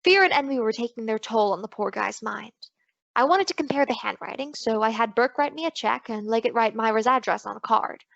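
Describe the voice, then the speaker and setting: A woman's voice: fairly high pitch, expressive, a little fast, creaky, a bit bright, no echo, dull audio. An actress playing a confident, playful woman private detective in an old-time radio drama. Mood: matter-of-fact.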